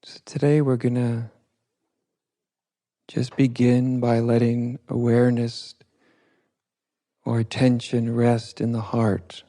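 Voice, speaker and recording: A man guiding a meditation as a teacher, speaking in soft instruction with halting short phrases and long pauses. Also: mid-range voice, little pitch movement. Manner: unhurried, halting, pausing between short phrases. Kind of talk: guided meditation, softly spoken instruction.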